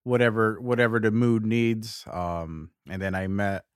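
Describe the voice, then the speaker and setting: Man, 30s, co-host, low voice, relaxed and halting. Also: clean tone, no room sound, studio quality. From Puerto Rico, raised in Connecticut. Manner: easygoing, hesitant, many "like"s. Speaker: podcast co-host. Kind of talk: casual chat.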